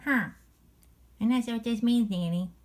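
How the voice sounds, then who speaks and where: A childlike stuffed puppy played by a woman with a raised pitch and slow delivery. The little dog is innocent and tender-hearted, asking curious questions as a storybook is read. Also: lightly acted.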